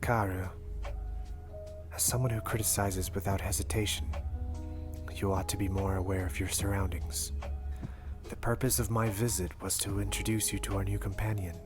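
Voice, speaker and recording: Prominent music sits behind a low male voice. A man acts out an anime roleplay's ghoul doctor, composed and curt, who phrases everything with dry, measured formality. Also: fairly even intonation, close to an everyday voice. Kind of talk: anime fan audio roleplay. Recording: prominent music bed under voice.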